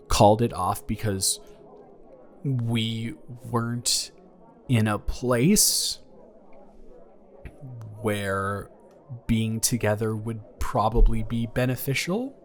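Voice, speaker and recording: A young man playing a caring, awkward ex-boyfriend in an improvised romantic audio roleplay, speaking in a halting, earnest, gently reassuring way. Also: medium pitch. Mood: candid, animated. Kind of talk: improvised romantic audio roleplay, intimate one-sided conversation. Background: background music.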